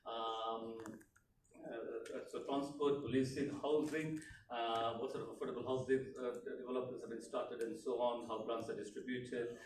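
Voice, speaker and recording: A man's voice: mid-range voice, fairly even intonation, moderate pace. Middle-aged guy from tanzania giving a speech, lots of uhs and ums, echoey room. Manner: hesitant, many fillers and restarts. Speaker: elected politician speaking at a community event. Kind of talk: unscripted speech. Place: community event room. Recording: echoey room, mic far off, background noise.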